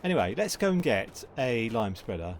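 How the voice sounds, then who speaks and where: Gameplay host, male, croaky, rambling and self-correcting, faint game noise underneath. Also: medium pitch, big pitch swings, moderate pace. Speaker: gaming channel host. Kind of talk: casual farming-sim gameplay commentary.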